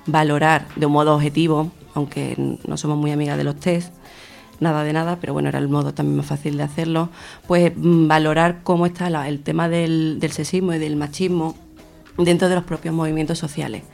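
A woman's voice: low-pitched, flat delivery, a little fast, creaky, a little mellow, faint music behind. A young adult woman answering as an interview guest in spontaneous, somewhat hesitant phrases, searching for words. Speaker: interview guest, feminist activist.

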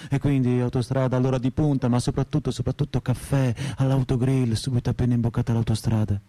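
An actor playing a weary, crude and wryly disillusioned working man in a deadpan radio drama monologue. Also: medium pitch, quick pace, no echo, lightly acted. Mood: groggy, grumbling. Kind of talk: theatrical first-person monologue, radio drama.

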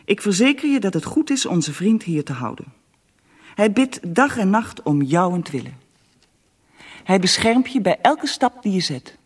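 Devout Russian empress, pleading, lively intonation, measured and insistent, radio play monologue. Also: female voice, mid-range voice, husky. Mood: earnest pleading. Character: devout, pleading Russian empress reading her letter to her husband.

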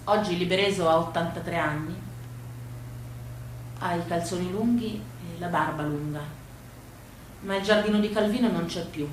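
A woman telling stories as a theatrical monologue from the stage. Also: lowish voice. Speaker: stage storyteller. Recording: echoey room with faint background noise.

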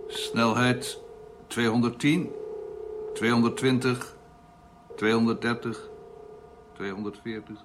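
Crewman reading out figures in sci-fi radio play, male actor: medium pitch, clipped, factual, light background noise. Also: flat delivery, slow pace, pauses often, lightly acted. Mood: matter-of-fact. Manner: clipped, reciting numbers. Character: matter-of-fact male spaceship crewman calling out readings. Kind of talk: Dutch radio play acting. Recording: slightly noisy radio drama recording.

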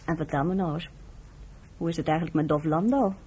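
A woman acting a composed, kindly American nurse in a Dutch radio play, speaking in a measured way. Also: deep voice, warm tone, husky, poor audio. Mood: warm, composed.

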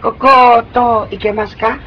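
An actor playing a talkative, excitable young Hong Kong man living in Tokyo who keeps joking and offering to guide a visitor, speaking with a Hong Kong Cantonese accent in a 1990s radio play. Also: extremely high pitch, moderate pace, a little hiss or hum. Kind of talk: 1990s radio drama acting. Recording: dull lo-fi 1990s radio recording.

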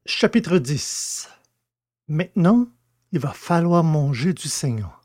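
Mellow male storybook narrator. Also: on the high side, sing-song, slow pace, dry recording, clean studio sound. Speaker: audiobook narrator. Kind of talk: scripted literary narration.

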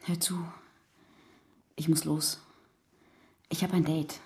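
An actress playing a cool, detached young escort who answers curtly in a radio drama. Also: female voice, deep voice, flat delivery, takes it slowly. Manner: curt, detached.

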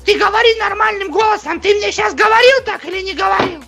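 A woman doing a very high, bright-toned caller with a strange mock accent. She sounds touchy and indignant, cuts in and repeats herself. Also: fast, vocal fry, soft background music, heavily acted. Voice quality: put-on odd voice with a mock accent. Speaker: prank caller. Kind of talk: prank phone call.

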